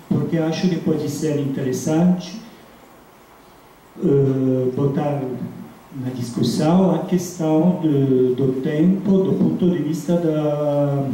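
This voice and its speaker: A middle-aged man with an Italian accent gives a hesitant lecture. He speaks slowly and searches for words, in a very dark, muffled tone. The room echoes heavily around him. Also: a little high. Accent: foreign, Italian. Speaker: guest academic lecturer. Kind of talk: halting lecture in a non-native language. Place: large dim seminar room. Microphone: handheld microphone into room speakers, recorded by distant camera. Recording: echoey room, distant mic, background noise.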